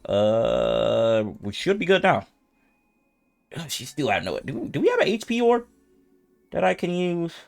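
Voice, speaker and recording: A man's voice: on the high side, huge pitch swings, unhurried, creaky, long pauses, faint music behind. A man doing casual gameplay commentary as a streamer, informal and slangy, thinking aloud as he plays. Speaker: video game streamer.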